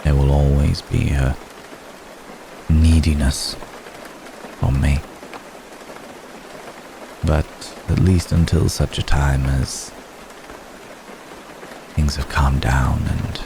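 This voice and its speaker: A man playing a centuries-old vampire gentleman in an intimate ASMR roleplay, tender, protective and world-weary, speaking formally and gently with long pauses. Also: a bass voice, vocal fry, exaggerated voice. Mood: tender, reassuring. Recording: steady rain noise behind voice. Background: rain falling.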